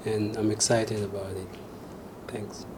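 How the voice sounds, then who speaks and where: A man's voice: fairly low pitch, flat delivery, measured pace, background noise. A man with a South Sudanese accent giving a short prepared testimonial as a researcher.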